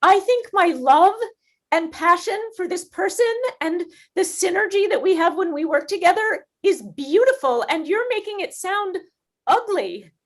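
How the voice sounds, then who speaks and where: A woman's voice: very high-pitched, lively intonation, measured pace. A woman in an improvised comedy playing a wealthy boss who is passionately in love with her employee and talks in an emphatic, indignant way. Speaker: improv performer.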